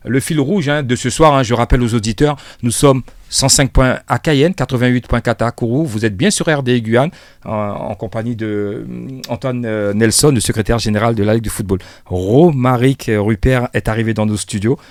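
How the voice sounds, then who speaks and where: A man's voice: medium pitch, normal speed, no echo. Male radio host with a dry studio sound. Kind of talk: live radio interview.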